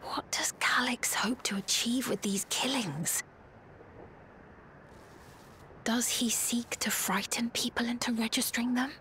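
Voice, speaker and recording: A woman's voice: on the high side, steady tone, normal speed, a bit bright, in a whisper, natural voice. Woman playing a composed, serious female ally. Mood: grave, hushed. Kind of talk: video game cutscene voice acting.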